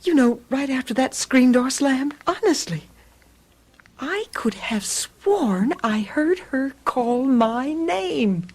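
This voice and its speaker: A high-pitched, very animated housewife in a radio play. She is fretful and hesitant, worrying aloud and pressing her point. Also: slow, heavily acted. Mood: uneasy, hushed. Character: anxious housewife troubled by a feeling about missing friends. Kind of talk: radio drama acting.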